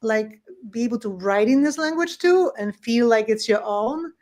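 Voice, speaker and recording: A woman's voice: fairly high pitch, animated, moderate pace, a little mellow. A woman who is a native Polish speaker with a Polish accent, talking conversationally about her own experiences as a podcast guest. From Poland. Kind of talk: conversational personal storytelling.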